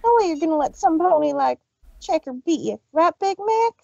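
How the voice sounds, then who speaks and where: A woman's voice: very high-pitched, expressive, normal speed, creaky, warm tone, dry recording, muffled recording, full character voice. A woman voicing an eager young filly pony cheering on her big sister.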